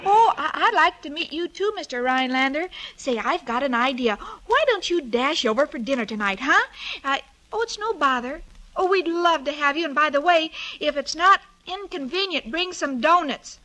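A woman's voice: sits high, expressive, moderate pace, bright, forceful tone. An actress playing a sweet, naive and scatterbrained young woman in a 1940s radio comedy, earnest in everything she says. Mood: eager, scatterbrained. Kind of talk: 1940s radio sitcom acting. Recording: noisy 1940s radio recording.

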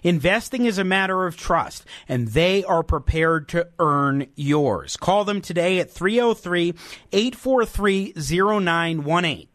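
A man's voice: high-pitched, a bit bright, dry recording, studio quality. A man hosting a local talk radio show, speaking in an emphatic, opinionated and conversational way. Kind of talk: talk radio commentary and interview. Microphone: studio microphone.

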